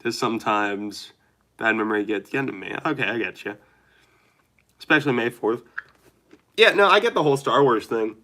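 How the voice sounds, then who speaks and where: Young male streamer, congested and nasal, bright tone, loose rambling delivery. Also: medium pitch, lively intonation. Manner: rambling, chatty, reads chat aloud haltingly. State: nasal and dry-throated from a cold. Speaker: livestreamer. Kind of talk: casual live stream chat with viewers.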